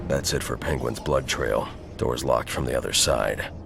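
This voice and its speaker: Stern masked game hero, extremely low gravelly voice, curt deadpan menace, music behind. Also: male voice, normal speed. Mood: focused, investigating. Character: grim, stern masked vigilante hero, brooding and terse. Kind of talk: video game hero acting.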